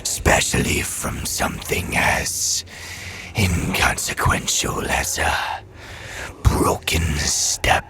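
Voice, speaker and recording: A man playing a coldly menacing supernatural suited man in a horror audio drama, with a grinding, deliberate whisper. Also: noisy background, close to an everyday voice. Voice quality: very deep, grinding, gravelly whisper.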